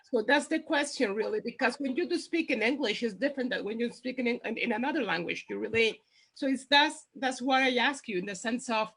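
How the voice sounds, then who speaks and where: This is a woman writer putting a question to the panel. Her voice is husky and a little high, and she rephrases carefully as she works toward what she wants to ask. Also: a bit bright, rough recording.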